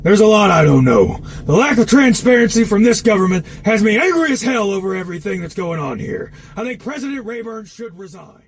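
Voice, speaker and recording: An actor playing an angry, blunt conspiracy commentator delivering an emphatic political rant in an audio drama. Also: male voice, high-pitched, animated, brisk, hardly any pauses, a little hiss or hum. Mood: furious. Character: furious, ranting male conspiracy commentator.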